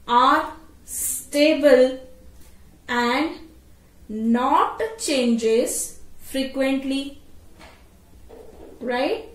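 Female tutorial presenter, very unhurried delivery with repeated phrases, recorded in an echoey room away from the mic. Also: high-pitched. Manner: repeats phrases, adds checking tags. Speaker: teacher. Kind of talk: explanatory tutorial lecture. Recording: roomy echo, distant microphone.

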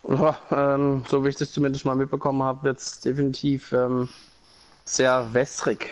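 A man co-commentating a live sim race, speaking with a cold and clearing his throat. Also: mid-range voice, completely flat delivery, normal speed. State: has a cold, coughing.

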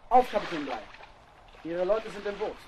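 A highish, commanding voice with noisy ambience behind it. a stern, tormented mutineer officer in theatrical radio play style. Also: male voice, expressive, normal speed. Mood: stern, anguished. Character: anguished, commanding male officer leading a ship's mutiny.

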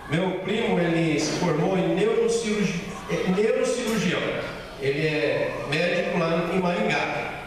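Brazilian male pastor, high voice, slow emphatic delivery, recorded from far off in a big echoey church hall. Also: fairly bright tone, slight background noise. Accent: Brazilian Portuguese. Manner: slow, emphatic, repeats key phrases. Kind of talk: sermon. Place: large bare church hall with a small stage. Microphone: handheld mic, filmed by far camera.

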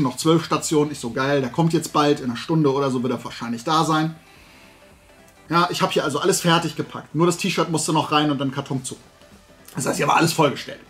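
High-pitched man chatting with sarcastic asides. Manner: animated storytelling with sarcastic asides. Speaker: host of a talk and toy channel. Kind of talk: casual chat, telling an anecdote to camera. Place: small room at home with shelves of collectibles.

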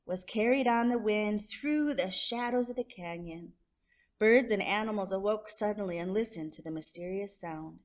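A woman's voice: mid-range voice, normal speed. A woman storyteller reading a children's picture book aloud expressively, drawing out descriptive words. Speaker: storyteller, show host.

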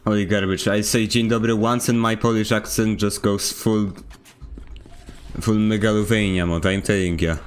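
A man streaming a video game, chatting casually and teasing his teammates with deadpan jokes. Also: fairly low pitch, moderate pace, clear, resonant tone. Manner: joking, teasing, mock-serious deadpan. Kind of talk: casual gaming chat. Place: home room with a fan.

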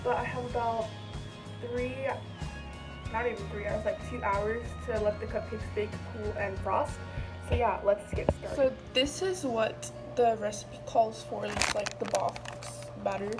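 A young woman vlogs from her home kitchen, chatting loosely to the camera while she bakes. Her voice sits slightly high and her talk rambles, full of fillers. Clear background music plays behind her. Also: steady tone, unhurried. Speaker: vlogger. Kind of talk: casual baking vlog.

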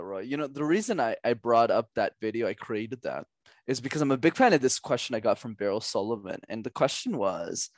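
A young man, a podcast guest and communication coach, giving energetic coaching advice with lots of emphasis and repetition over a headset mic at home. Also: medium pitch, no echo. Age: young adult. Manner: animated, gestures a lot, drives points home with repetition. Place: small room at home.

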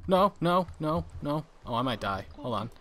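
Bright-voiced young man narrating his gameplay. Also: on the high side, very animated, normal speed. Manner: chatty, laughs often at his own mistakes. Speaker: gaming channel host. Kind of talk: casual gameplay commentary.

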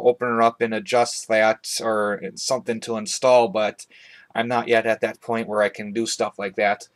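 Casual young man, medium-pitched voice. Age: young adult. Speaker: hobbyist video host. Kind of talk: casual product review chat. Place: small bedroom indoors.

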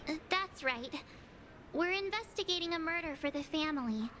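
A woman's voice: sits high, lively intonation, moderate pace, sharp tone, slight background noise. A woman voicing a perky, inquisitive teenage girl adventurer in an anime-style game, chatty and teasing. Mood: curious. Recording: game music behind dialogue.

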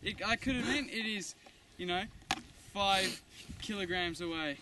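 A man's voice: sits high, a little slow. Goofy teenage boy, outdoor camera audio. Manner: goofy, joking, swears freely. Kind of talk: casual chat among friends. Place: outdoors on a rural farm, by a tent and dam. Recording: outdoor camera audio with loud wind and background noise.

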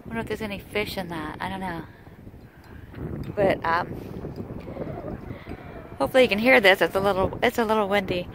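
A woman casually narrating a camping trip outdoors, chatty and quick to laugh, filming on a phone. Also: mid-range voice. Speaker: vlogger. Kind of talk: casual travel vlog narration. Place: outdoors at a windy campground and beach. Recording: loud wind on the mic.

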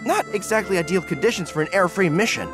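An actor playing an earnest, polite young male army general in a video game, easily flustered and excitable. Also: high voice, fast talker, over music. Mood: earnest, businesslike. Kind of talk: animated video game voice acting.

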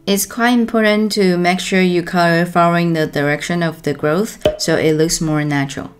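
A young woman calmly explaining step by step how she colors a drawing, as the host of an art tutorial. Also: lowish voice, normal speed, soft background music. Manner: calm, instructive.

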